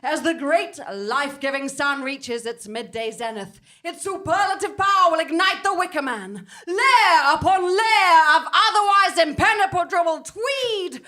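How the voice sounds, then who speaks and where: Mad cult villainess: very high voice, pompous declamation, gloating, comic audio drama. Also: female voice, expressive, a little slow, hardly any pauses, bright, forceful tone, full character voice. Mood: grandiose, triumphant.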